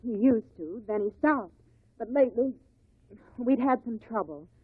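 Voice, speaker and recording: An actress playing a nervous, bitter widow who testifies in a hesitant, defensive way in a 1950s radio drama. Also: female voice, a little high, expressive, a little slow, breathy edge, no echo. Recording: tinny, dry old radio recording.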